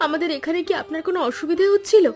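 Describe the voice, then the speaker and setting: A woman playing a high-voiced, insistent cartoon wife. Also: rapid-fire, darkish tone. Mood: insistent, pleading. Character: caring, insistent wife, sisterly hostess. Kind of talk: Bengali animated story dialogue.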